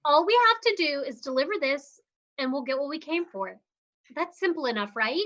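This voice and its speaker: An earnest, determined young woman, read by a woman, with a high, bright, lively voice. She speaks firmly and plainly. The recording is dull and lo-fi. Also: normal speed. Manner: earnest, firm. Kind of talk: livestream script reading. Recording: dull lo-fi sound.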